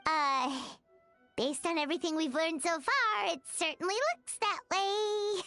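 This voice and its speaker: A woman voicing a tiny, childlike fairy companion in an animated video game, bubbly, bossy and excitable, with a squeaky voice. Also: measured pace, soft background music, heavily acted. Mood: curious. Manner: excitable, exclaiming, chatty.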